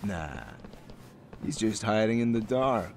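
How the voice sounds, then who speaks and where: An actor playing a cocky young male high school gang leader in an English game dub, mocking, swaggering and slangy. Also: slow pace, a little hiss or hum. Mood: smug, amused. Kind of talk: English video game dub cutscene acting. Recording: music under the voice.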